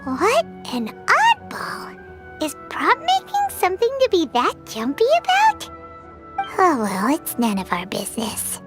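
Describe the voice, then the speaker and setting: A woman voicing a tiny childlike fairy companion in an animated video game, perky, blunt and cutesy. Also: very high voice, measured pace, full character voice. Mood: perky, curious. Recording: music playing under the voice.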